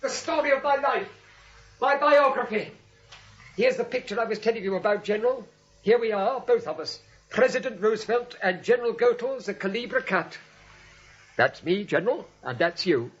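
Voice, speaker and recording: An exuberant, deluded man who fancies himself president. He is eager and excitable, very high and husky, in vintage radio drama on a muffled recording. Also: normal speed. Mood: excited. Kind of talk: 1940s radio play acting. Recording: dull old 1940s radio recording.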